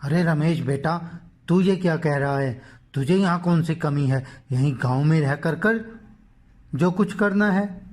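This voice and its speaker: A man playing a weary, dutiful village potter father in a dramatised moral story, with a husky voice. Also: a little high, unhurried, darkish tone. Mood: concerned.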